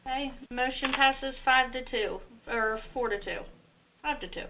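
A woman's voice: high voice, unhurried. A woman acting as clerk, formally taking a roll-call vote on a conference call. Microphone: telephone. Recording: tinny phone line with faint noise.

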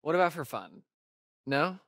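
A man's voice: fairly high pitch, big pitch swings, a bit bright, dry recording, full character voice. Wisecracking young American guy, dry recording. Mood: joking, animated. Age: young adult. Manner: casual, sarcastic, halting asides. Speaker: video game voice actor. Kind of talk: naturalistic video game dialogue.

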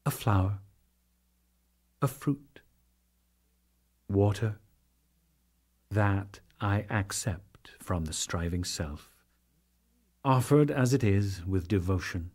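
A man performing a solemn divine lord in a dramatic scripture reading, declaiming in measured phrases with deliberate pauses. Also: mid-range voice, warm tone, breathy edge, exaggerated voice. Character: Krishna, solemn divine lord teaching a devotee.

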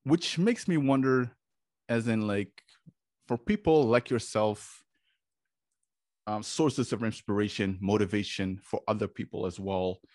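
A man hosts a podcast over a video call and asks questions in a loose, rambling way full of fillers. His voice sits on the low side. The sound is close and dry. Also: measured pace, darkish tone, no room sound. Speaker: podcast host. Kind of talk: casual video-call interview. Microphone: video call, desk mic.

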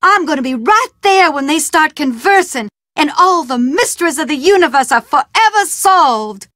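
Sly housekeeper schemer. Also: female voice, sits high, expressive, moderate pace, sharp tone, no room sound, clean studio sound. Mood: gloating, scheming. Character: scheming Southern housekeeper woman, mystical, secretive. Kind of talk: video game voice acting.

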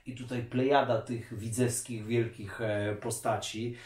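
A man in his forties interviewing casually, with halting, searching phrasing. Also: mid-range voice, flat delivery, a little mellow. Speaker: interviewer, host. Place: small office, indoors. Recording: echoey room, distant microphone.

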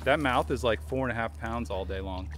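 A man's voice: medium pitch, a little fast, background noise. A man guiding a fishing charter from a boat, hyped and laughing as fish come in, swearing now and then. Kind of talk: excited on-the-water banter. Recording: music playing behind voice.

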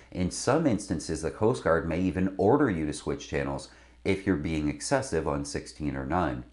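A middle-aged man presenting scripted instruction to camera as a sailing video host. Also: extremely low pitch. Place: indoor studio with branded backdrop. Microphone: lapel mic.

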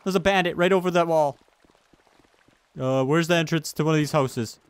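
A man hosting a gaming video, giving excitable, urgent callouts and casual roleplay commentary as he plays with others. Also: on the high side, normal speed, smooth.

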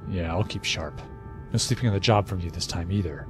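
A man's voice: sits low, fairly quick, music in the background, natural voice. An actor playing a teasing, watchful armed spaceship crewman in an audio drama. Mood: wary.

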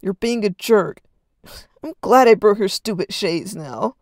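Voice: normal speed, gravelly, no room sound, studio quality, close to an everyday voice. A storyteller voicing a whiny, hurt teenage boy who pleads with his older brother. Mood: angry, shouting. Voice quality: whining, high and pleading.

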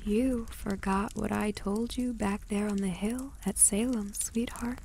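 Vengeful dying witch for radio drama, played by a woman: slow, breathy, coolly taunting, slightly noisy. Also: medium pitch, fairly even intonation, dark tone. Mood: mocking, dying. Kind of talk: retro radio drama acting.

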